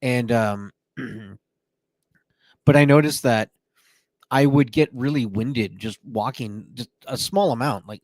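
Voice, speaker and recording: A man with a mid-range voice co-hosting a podcast, talking at length in a loose storytelling way. He fills pauses freely and circles back as he goes, recorded dry and close. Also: mid-range voice, a little mellow, dry recording. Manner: talkative storyteller, many fillers. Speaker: podcast co-host. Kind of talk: casual podcast chat.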